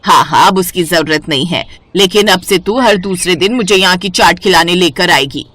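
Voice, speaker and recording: A woman's voice: fairly low pitch, normal speed, fairly bright tone, dry recording, voice pushed down. A woman voicing a stubborn, scolding old mother-in-law in a cartoon moral story. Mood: delighted. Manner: scolding, emphatic. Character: grumpy, stubborn old mother-in-law, easily won over.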